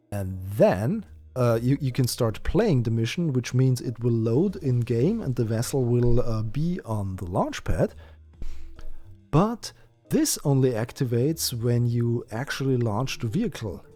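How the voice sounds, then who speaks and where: German-native man, game streamer, unhurried thinking-aloud delivery, lively pitch. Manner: thinks aloud with many fillers and restarts. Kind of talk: live-stream gameplay chat.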